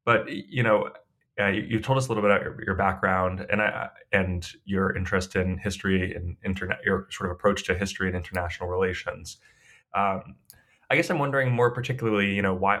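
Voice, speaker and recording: Deep, flat-voiced man host. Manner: thoughtful, hedging questions. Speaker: podcast host. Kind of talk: podcast interview.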